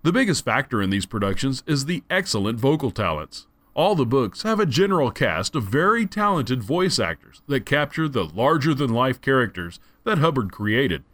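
A man's voice: medium pitch, fairly bright tone. A man giving an enthusiastic, conversational audiobook review. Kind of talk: casual spoken review.

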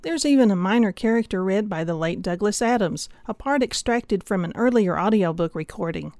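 Woman, audiobook reviewer, scripted narration, measured and keen, dry close sound. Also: mid-range voice, expressive, a little mellow, dry recording.

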